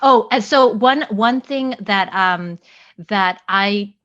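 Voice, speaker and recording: An American woman co-hosting a podcast in friendly casual chat, laughing often and filling pauses with "like" and "um". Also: mid-range voice, fairly bright tone. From United States. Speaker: podcast co-host. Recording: dull dry lo-fi mic.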